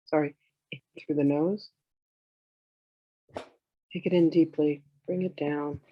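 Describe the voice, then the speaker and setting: A meditation teacher guiding in a soothing, unhurried way, with gentle instructions in drawn-out phrases. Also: mid-range voice, fairly even intonation, dry recording, dull audio. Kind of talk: guided meditation, slow instruction.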